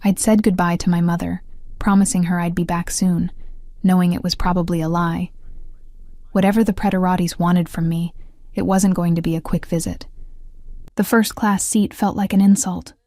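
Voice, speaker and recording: Even-voiced woman narrating a story. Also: on the low side, moderate pace, dry recording. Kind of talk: scripted first-person story narration.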